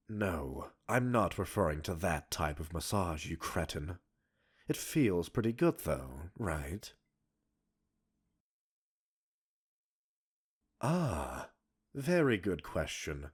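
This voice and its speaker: A man's voice: low voice, slightly rough, heavily acted. A man playing a smug, arrogant male professor in an ASMR roleplay, erudite and teasing, flirtatious and condescending as he talks like a scientist. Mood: teasing condescension. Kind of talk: ASMR roleplay audio drama.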